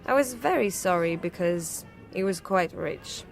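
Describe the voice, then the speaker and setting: A woman's voice: fairly low pitch, expressive, unhurried, gravelly, faint music behind. Worldly dancer woman. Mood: flirtatious, candid. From Serbia. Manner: coquettish, frank, precise formal phrasing. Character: worldly, flirtatious dancer woman, frank and unsentimental. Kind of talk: radio drama acting.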